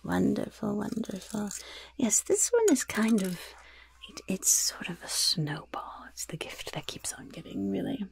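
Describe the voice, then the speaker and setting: Woman playing a whispering, gravelly goblin shopkeeper. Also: medium pitch, huge pitch swings, a little slow, heavily acted. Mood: sly, delighted. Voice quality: breathy, husky whisper with gravelly creak. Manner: sly, polite, hesitant patter with repeated words. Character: gleefully wicked, chatty goblin shopkeeper selling poisons and curses. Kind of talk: whispered ASMR roleplay, shopkeeper to customer.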